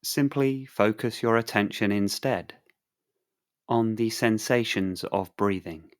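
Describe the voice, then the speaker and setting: Male meditation guide, calm and soothing, low register, very slow with long pauses.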